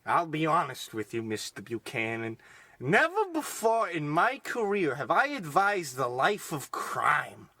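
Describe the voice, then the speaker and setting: A fussy oddball doctor played by a man in an old radio play parody. The voice is high and swings widely as he stammers and then makes grand pronouncements, all captured very dry and close. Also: huge pitch swings, measured pace, pushed and bright, no room sound, exaggerated voice. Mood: gravely advising.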